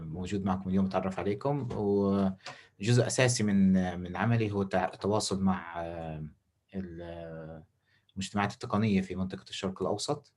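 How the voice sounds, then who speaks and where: A man giving an informal welcome as workshop host, halting and switching between languages. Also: low-pitched, a little mellow.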